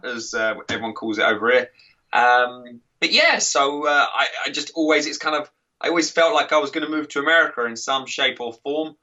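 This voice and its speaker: A man's voice: mid-range voice, bright, forceful tone, distant mic, lo-fi sound. A man with an English accent, a podcast guest and author chatting casually, rambling with restarts and laughing often. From England. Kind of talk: casual interview chat.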